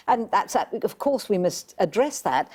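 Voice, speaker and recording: A woman's voice: medium pitch, sing-song, measured pace, darkish tone, husky. A woman debating on a studio panel, full of hesitant restarts yet insistent on making her point. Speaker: panel guest.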